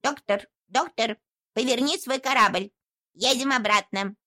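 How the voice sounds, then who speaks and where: A woman voicing a sensible, cautious female duck who gently urges everyone to turn back. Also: fairly high pitch, measured pace, voice pushed up. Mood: resigned. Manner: soft, resigned, persuading.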